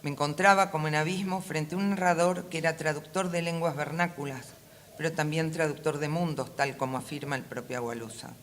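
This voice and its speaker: A woman with a Rioplatense Argentine accent reads a prepared talk aloud in a measured, formal, literary manner as a panel speaker. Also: sits low, fairly even intonation. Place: conference room at a book fair. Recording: faint ambient event noise.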